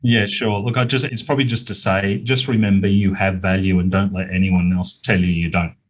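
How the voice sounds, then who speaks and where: Husky, lowish-voiced Australian man. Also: little pitch movement, fairly quick. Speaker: interview guest, business author. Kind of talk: conversational interview answers.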